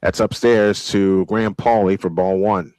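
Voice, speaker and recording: A man's voice: deep voice, steady tone, unhurried, darkish tone, clear, resonant tone, no echo. A man announcing a baseball game live, mixing old-school play-by-play with casual asides. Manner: old-school sportscaster delivery.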